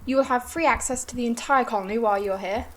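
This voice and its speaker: A woman's voice: a little high, fast, natural voice. A woman playing a gracious but harried colony administrator in a science-fiction audio drama, formal and courteous.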